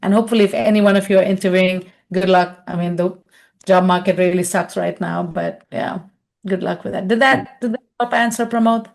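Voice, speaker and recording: Animated middle-aged woman on a webinar call. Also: fairly low pitch, a little fast, gravelly. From India. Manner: animated, acts out quoted voices, conversational. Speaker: webinar speaker and consultant. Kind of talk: webinar Q&A answer.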